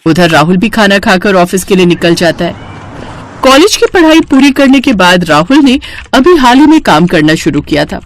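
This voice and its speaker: A woman's voice: mid-range voice, sing-song, normal speed. A woman narrating a scripted family story in the manner of a storyteller.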